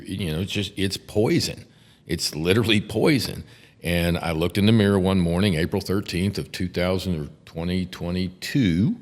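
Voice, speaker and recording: An older man with a gravelly voice and a Texan accent, talking bluntly with plenty of cursing and halting restarts on a podcast. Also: low voice, big-room sound. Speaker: podcast host, defense attorney. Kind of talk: casual podcast chat.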